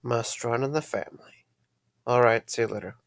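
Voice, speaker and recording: Casting: young male night guard played by a man, creaky voice, sarcastic, caring, very dry recording. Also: mid-range voice, moderate pace, no room sound, natural voice. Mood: weary. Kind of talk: audio drama acting.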